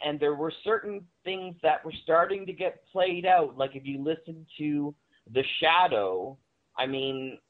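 A man speaking as a panel guest, giving conversational commentary in an explanatory, emphatic way. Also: a little high. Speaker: panel guest on audio drama. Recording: tinny, narrow phone-like line.

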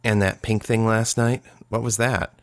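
A man's voice: on the low side, level tone, normal speed, dry recording, clean studio sound, pitched above the performer's own voice, close to an everyday voice. A man voicing an earnest, tender-hearted and easily flustered young man in a fantasy audiobook. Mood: puzzled. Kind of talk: dramatized audiobook dialogue.

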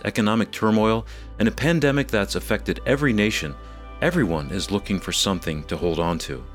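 Over a music bed, a man with a lowish, fairly lively voice reads a scripted announcement. He speaks as a program co-host promoting an event.

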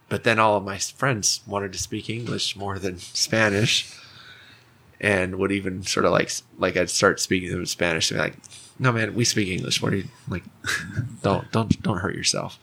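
A man talks about his life in an informal interview. His voice is low and bright-edged, with noticeable vocal fry. He goes haltingly, searching for the right words. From United States, raised partly in Mexico City. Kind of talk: casual personal storytelling.

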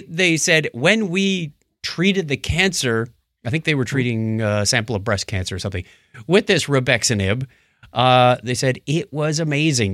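A man's voice: mid-range voice, a bit bright, dry recording, clean studio sound. A man hosting a casual podcast, retelling odd news stories in a wry, bantering way. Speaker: podcast host.

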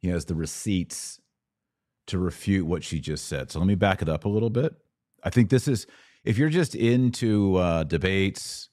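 A man with a low voice hosts an informal commentary podcast. He explains his points emphatically, as if walking a listener through each one. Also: darkish tone. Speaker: podcast host. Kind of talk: informal political commentary reacting to clips.

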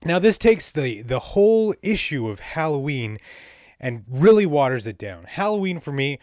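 A man's voice: on the high side, sing-song, normal speed, darkish tone, no room sound, studio quality. A man hosting a podcast in a casual, conversational way. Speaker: podcast host. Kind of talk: casual interview and opinion rant.

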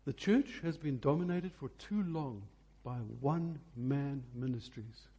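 A man's voice: mid-range voice, a little slow, dark tone, husky. A man preaching a sermon, phrasing emphatically and repeating key words for effect.

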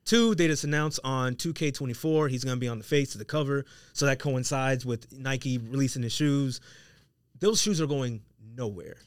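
A man's voice: medium pitch, normal speed, clean tone, no room sound. A man from France co-hosting a casual podcast discussion, insistent and slangy. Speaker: podcast co-host.

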